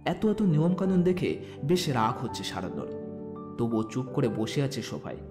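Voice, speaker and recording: A man's voice: medium pitch, big pitch swings, normal speed, over music. A man narrating a scripted romantic story as a storyteller.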